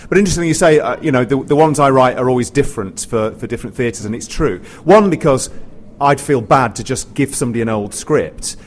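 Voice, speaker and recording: A man's voice: medium pitch, animated, brisk. A British man, a pantomime writer on a panel, chatting informally and hesitantly, often correcting himself as he goes. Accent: British English. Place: theatre, live panel after a show. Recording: live theatre recording with background noise.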